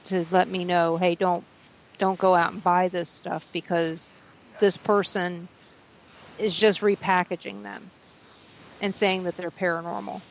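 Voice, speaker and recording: Low-voiced woman radio host, chuckling. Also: flat delivery, unhurried, gravelly. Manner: rambling, chuckling storyteller. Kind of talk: casual paranormal talk radio chat. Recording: dull lo-fi sound with faint hiss.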